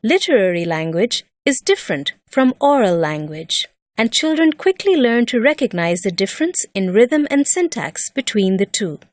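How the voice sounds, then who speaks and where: A woman narrating a scripted educational lesson in a measured, deliberate and expressive way, like a teacher explaining step by step. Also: on the high side, dry recording, studio quality.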